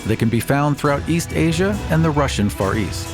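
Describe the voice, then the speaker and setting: A man's voice: on the high side, lively intonation, a little fast, over music. A man speaking as a podcast host. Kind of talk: podcast discussion with some read-out passages.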